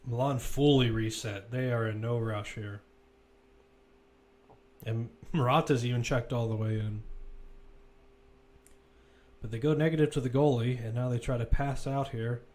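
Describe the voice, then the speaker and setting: A man's voice: mid-range voice, fairly even intonation, measured pace, long pauses, a little mellow. A man giving live football play-by-play commentary, describing the action as it unfolds in short, clipped phrases. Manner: running present-tense description in clipped phrases.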